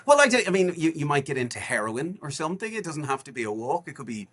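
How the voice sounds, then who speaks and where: A man's voice: a little high, very animated, fast, a bit bright, slightly rough. A man in his forties, a guest on a podcast, chatting casually in a rambling way with many restarts and fillers. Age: 40s. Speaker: guest, charity walker.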